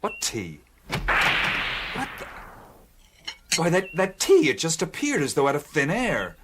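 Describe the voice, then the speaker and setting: An actor playing a bewildered, earnest adventurer with an American accent in a radio drama, hesitant and stammering. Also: male voice, fairly high pitch, huge pitch swings, takes it slowly, heavy background noise, heavily acted.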